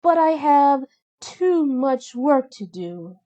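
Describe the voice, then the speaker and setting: A woman acts out a kind young storybook heroine, tender and earnest. Her words come slowly, with a wistful, good-hearted temper. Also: high-pitched, big pitch swings, warm tone, voice pushed up, full character voice. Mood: sad, wistful. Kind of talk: children's storybook read-aloud.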